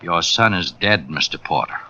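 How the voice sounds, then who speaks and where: A man's voice: deep voice, expressive, normal speed, loud music behind the voice. An actor playing a man who calls as a War Department official, clipped, formal and blunt, in 1940s radio acting. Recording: lo-fi old radio broadcast.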